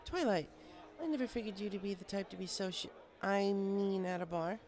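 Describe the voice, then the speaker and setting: Man doing a weary, high-voiced schoolteacher mare. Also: fairly quick, darkish tone, slight background noise. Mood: surprised, awkward. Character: weary, stressed female pony schoolteacher, lamenting.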